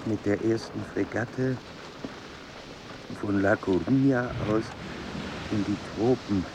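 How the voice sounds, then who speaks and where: An actor playing a strict, pedantic, driven explorer and scientist in a radio play, clipped and commanding. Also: male voice, fairly low pitch, slow pace, background noise. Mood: resolute. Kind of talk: German radio play acting.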